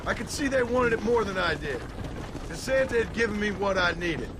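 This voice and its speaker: A man's voice: sits high, lively intonation, a little fast, noisy background. A man playing a stern, sardonic American gunslinger in a video game, terse and dry, with an American accent. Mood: dry, determined. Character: stern, sardonic American gunslinger hunting former gang mates. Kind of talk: dramatic video game acting.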